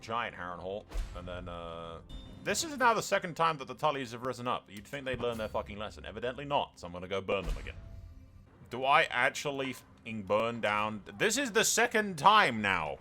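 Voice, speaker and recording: A man's voice: on the low side, sing-song, brisk, sharp tone, soft background music. A man commentating casually on a roleplay strategy game playthrough, with an informal, slangy way of talking. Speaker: gaming channel host.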